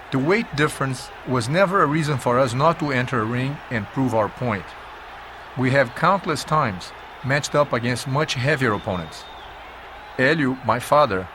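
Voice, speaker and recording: Male documentary narrator, mid-pitched voice, measured and emphatic scripted history telling, clearly noisy old video audio.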